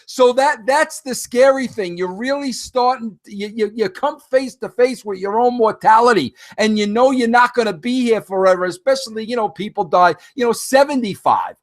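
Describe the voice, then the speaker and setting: A fifty-something man hosts his own show, rambling through a casual monologue aimed right at his listeners. His voice sits high for a man, and he often stumbles, repeats himself and restarts before pushing on with lively energy. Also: moderate pace, few pauses. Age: 50s. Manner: rambling, animated, frequent restarts and fillers; addresses listeners directly. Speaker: podcast host.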